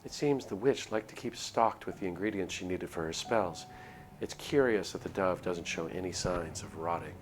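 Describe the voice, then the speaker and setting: A man's voice: deep voice, normal speed, hardly any pauses. A man reading a visual novel aloud as a streamer, in dramatic storytelling narration with casual asides. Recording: music under the voice. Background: music.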